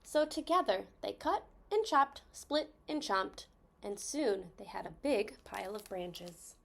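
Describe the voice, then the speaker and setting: A woman reading a children's story aloud expressively, stressing key words with deliberate phrasing. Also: medium pitch, lively intonation, vocal fry.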